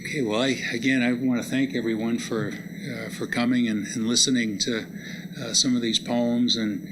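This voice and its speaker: A man with a medium-pitched voice hosts a live gathering. He is polite and appreciative, with halting, unscripted phrasing. The recording has clear background noise. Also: little pitch movement. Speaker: event host, college lecturer. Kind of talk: unscripted hosting of a poetry event. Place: live college lecture event.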